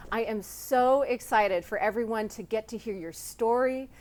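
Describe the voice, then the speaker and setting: A woman's voice: on the high side, normal speed, a little mellow. Lady interviewer, gushing and empathetic, full of compliments for her guest. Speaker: interviewer and host. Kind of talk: sit-down interview.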